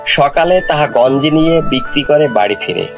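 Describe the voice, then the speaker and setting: A man narrating a scripted story in a measured, formal storytelling manner. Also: a little high. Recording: music behind the voice.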